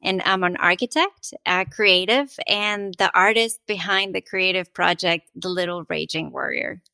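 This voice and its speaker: A woman's voice: fairly low pitch, a little slow, creaky, sharp tone, no echo. A woman from Venezuela, an architect and artist, speaking as a podcast guest in an earnest, reflective way. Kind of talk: interview conversation.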